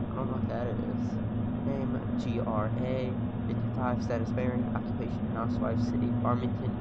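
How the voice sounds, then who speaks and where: Man reacting casually over dull, noisy audio. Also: mid-range voice. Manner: frequent swearing, muttered asides. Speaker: reaction video host. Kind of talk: casual reaction commentary, reading on-screen text aloud. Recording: dull, noisy home recording.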